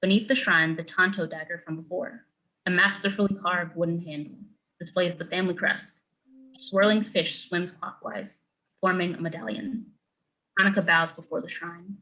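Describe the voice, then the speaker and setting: A woman's voice: on the low side, steady tone, moderate pace, pushed and bright, poor audio. A woman narrating a screenplay, reading out scene headings and stage directions as scripted narration.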